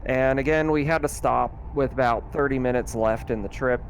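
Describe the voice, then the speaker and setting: A man acting as game master, improvising narration for a tabletop roleplaying game in a halting, conversational way. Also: mid-range voice, a little hiss or hum, rough recording.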